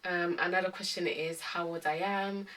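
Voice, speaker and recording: A woman in her twenties from Ghana, chatting calmly through a casual question-and-answer vlog with many pauses and ums. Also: fairly low pitch, distant mic. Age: 20s. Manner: calm, many ums and hesitant restarts. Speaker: vlogger.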